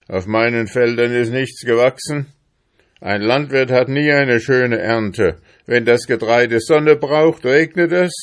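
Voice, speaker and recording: A man's voice: medium pitch, pitched above the performer's own voice, natural voice. A man voicing a grumpy, stingy male hamster farmer in a storybook, blustering and grumbling indignantly.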